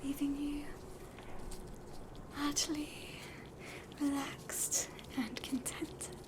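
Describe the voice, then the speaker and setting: Aussie woman doing whispery asmr doctor roleplay, higher voice, gentle and reassuring, really unhurried. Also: little pitch movement. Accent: Australian. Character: soothing, spooky hospital doctor treating hauntings. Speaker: ASMR performer playing a doctor.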